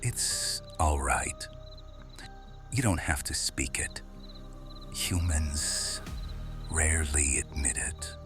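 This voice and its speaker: A man playing a quiet, watchful serpent shifter guardian in an intimate ASMR roleplay, hushed and deliberate, often slipping into whispers. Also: very deep voice, heavily acted.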